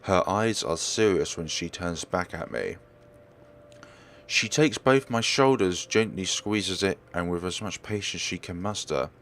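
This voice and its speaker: A man reading visual novel text aloud as a let's player, expressive and occasionally stumbling over his words. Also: deep voice, a little slow. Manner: expressive, stumbles and corrects himself while reading.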